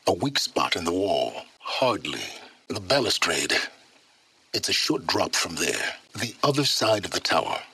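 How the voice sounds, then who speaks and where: A secretive, learned middle-aged man from a video game, with a very low and husky voice. He speaks in formal, precise phrases, commanding and condescending, and his pitch moves with great expression. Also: very animated, a little slow, sharp tone, no echo, full character voice. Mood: stern. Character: secretive, learned older male wanderer, imperious and impatient. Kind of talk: video game character dialogue.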